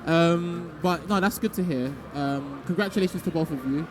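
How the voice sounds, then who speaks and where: A man's voice: on the high side, background noise. A man hosting a live stream and interviewing players in a loose, casual way. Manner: rapid and loose, with many fillers and restarts.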